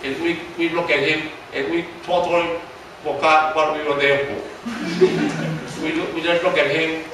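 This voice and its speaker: A man in his seventies with a Taiwanese accent, preaching a storytelling sermon in a halting way, repeating words and asking his listeners questions. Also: on the high side, little pitch movement. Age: 70s. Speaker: pastor. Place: church hall. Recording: echoey church hall from far off with background noise.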